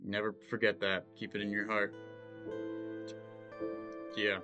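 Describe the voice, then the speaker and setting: A man's voice: fairly low pitch, monotone, measured pace, dull audio, over loud music. A man hosting a podcast, giving a loose, jokey outro with plugs. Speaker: podcast host.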